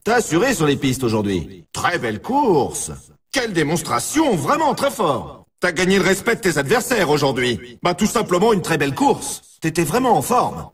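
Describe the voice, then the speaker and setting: Video game race announcer, brash and punchy, husky voice, very lively intonation, snowboard sports style. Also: male voice, a little high, moderate pace, distant mic, exaggerated voice. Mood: impressed. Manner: punchy exclamatory delivery in short scripted lines. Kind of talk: scripted sports announcer lines with colloquial address.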